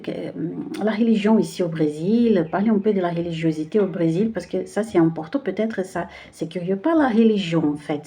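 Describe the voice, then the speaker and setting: A woman's voice: mid-range voice, mellow. A woman from Brazil speaking French with a Brazilian Portuguese accent, giving a casual card-reading video and often hesitating to find the right words. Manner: hesitant, searching for words with many fillers. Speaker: tarot card reader.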